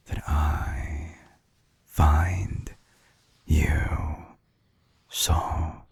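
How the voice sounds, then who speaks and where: A man playing a suave, obsessive villain scientist in an intimate audio drama, murmuring with silky condescension in a breathy, theatrical way, close to the mic. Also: darkish tone, exaggerated voice. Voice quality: low, breathy, husky murmur. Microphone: close binaural microphone.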